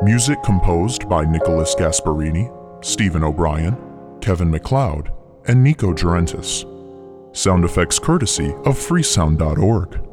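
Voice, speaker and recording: Deep-voiced man reading credits over music. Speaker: podcast credits announcer.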